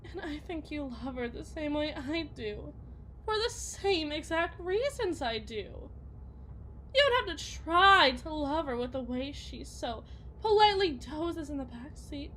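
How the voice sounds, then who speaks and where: Girl acting out anxious trophy wife mom for asmr roleplay, real slow n halting, high voice, lovesick. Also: a bit bright, a little hiss or hum, heavily acted. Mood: tearful devotion. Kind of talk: ASMR roleplay, dramatic monologue.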